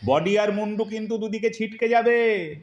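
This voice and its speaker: A man's voice: high voice, normal speed, darkish tone, highs cut off, close to an everyday voice. An actor playing a hesitant, fatherly old man in an audio drama, speaking in a plaintive, coaxing way. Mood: worried, pleading. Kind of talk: Bengali audio drama acting. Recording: roomy, echoing voice recording.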